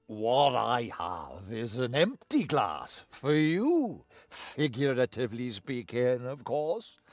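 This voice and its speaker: A man's voice: medium pitch, big pitch swings, takes it slowly, no room sound. A man playing a jolly, tipsy, eccentric inventor in a fantasy game, theatrical and self-amused. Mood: tipsy good cheer.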